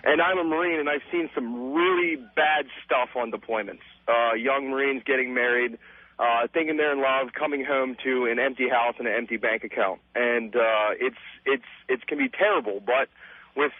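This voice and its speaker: A young man chats as a caller on talk radio. His medium-pitched voice is a little raspy, and he feels his way hesitantly and agreeably through his points. The audio is dull and dry. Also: moderate pace, no room sound. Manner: hesitant, conciliatory. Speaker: radio show caller, Marine. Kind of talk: casual call-in conversation.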